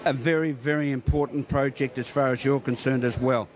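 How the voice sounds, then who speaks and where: A man with an Australian accent working as a local TV news interviewer, phrasing his questions in a halting, hesitant way. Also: mid-range voice, fairly even intonation, slight background noise.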